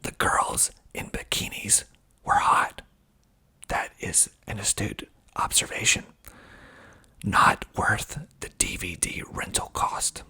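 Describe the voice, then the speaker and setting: A man speaking in a breathy, husky ASMR whisper, reading aloud and adding deliberate, dry asides. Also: fairly low pitch, steady tone, sharp tone. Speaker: filmmaker hosting his own video.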